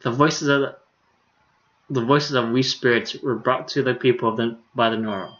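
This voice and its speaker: A man's voice: mid-range voice, fairly even intonation, normal speed, a bit bright. Informal male streamer, slightly muffled sound. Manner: informal, amused. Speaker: game streamer. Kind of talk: reading game dialogue aloud with casual asides. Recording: slightly dull streaming audio.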